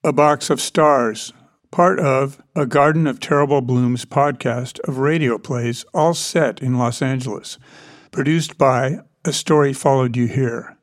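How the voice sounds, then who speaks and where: A man's voice: mid-range voice, measured pace. A man giving a scripted announcement as the credits reader of an audio drama.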